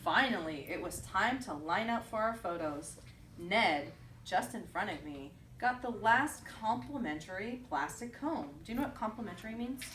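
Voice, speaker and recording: A woman's voice: medium pitch, expressive, normal speed, a bit bright. Woman reading aloud in an echoey room. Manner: prompting, repeats children's answers back. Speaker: teacher reading aloud to young children. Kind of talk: picture-book read-aloud with questions to children. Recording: roomy echo, distant mic, faint noise.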